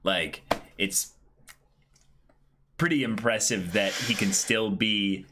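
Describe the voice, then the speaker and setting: A man's voice: on the low side, slow pace, sharp tone, a little hiss or hum. A man co-hosting a casual manga reading, bantering and reacting with exclamations. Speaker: co-host of a manga dramatic reading.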